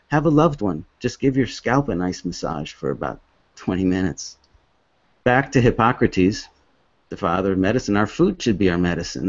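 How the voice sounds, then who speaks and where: A man in his fifties who grew up in Hong Kong, giving an earnest, halting presentation as an author with many restarts. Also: fairly low pitch, darkish tone, dry recording. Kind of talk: presentation with slides. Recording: dull, dry lo-fi recording.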